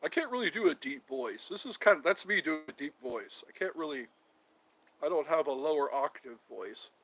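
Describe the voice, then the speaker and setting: A middle-aged man rambles through a casual vlog, joking and trying out impressions. His voice sits on the high side for a man and swoops around a lot. The tone is bright and a little edgy. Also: lively intonation, normal speed. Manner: rambling, jokey, tries out voices. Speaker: vlogger.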